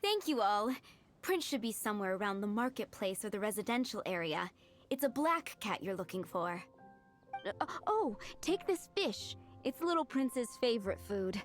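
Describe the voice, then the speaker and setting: A woman playing a friendly, doting townswoman in an anime game, with fond, expressive delivery. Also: medium pitch, moderate pace, faint music behind, close to an everyday voice. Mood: fond, helpful. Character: doting, friendly townswoman who loves her cat.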